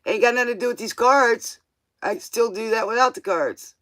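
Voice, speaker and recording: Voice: mid-range voice, a little fast, bright, forceful tone, no room sound. A livestream tarot host chatting casually in a rambling, informal way, drifting between thoughts and tossing out questioning asides.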